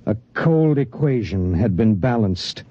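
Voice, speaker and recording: An actor playing a grim, burdened space pilot in a 1950s radio drama. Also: male voice, on the low side, lively intonation, measured pace, dark tone. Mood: grim, haunted. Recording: muffled 1950s radio, music behind.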